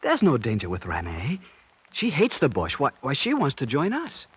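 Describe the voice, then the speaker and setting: An actor playing an earnest, lovestruck young French resistance fighter with a French accent in an old-time radio drama. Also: male voice, mid-range voice, huge pitch swings, normal speed, slightly rough, full character voice. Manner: earnest, impulsive, deferential. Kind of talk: 1940s-50s radio drama acting.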